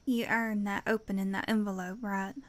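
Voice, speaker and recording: An actress playing a caring, plain-spoken confidante in audio theater, earnest, gentle and folksy. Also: female voice, medium pitch, little pitch movement, normal speed, natural voice. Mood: firm, tender.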